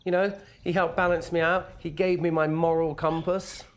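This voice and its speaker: An actor playing a defensive, sulky young son, evasive and sardonic, in a naturalistic film drama. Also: male voice, on the high side, flat delivery, normal speed, close to an everyday voice. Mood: wry. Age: young adult. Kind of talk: acted film dialogue, naturalistic.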